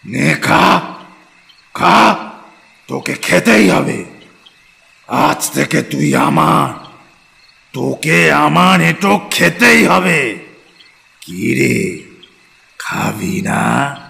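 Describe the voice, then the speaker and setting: A man's voice: on the high side, wildly swooping pitch, heavily acted. A man playing a savage, gloating cave-dwelling brute with a growling, menacing voice in an audio drama. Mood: angry, commanding. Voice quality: gravelly, growling. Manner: slow, drawn-out, forceful menace. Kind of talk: dramatized Bengali audio story acting.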